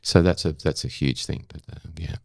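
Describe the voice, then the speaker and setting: An Australian man of seventy talking reflectively in an interview, in an unhurried, halting storytelling way with emphatic repetition. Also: very deep voice, mellow, no room sound, clean studio sound. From South Australia. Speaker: interview guest, former broadcaster.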